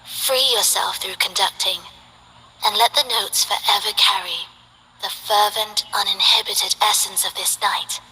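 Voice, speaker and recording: Playful showwoman conductor, hushed and teasing, bright voice. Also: medium pitch, fairly even intonation, unhurried, slightly rough, in a large hall, heavily acted, rough recording. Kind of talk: game character voice lines.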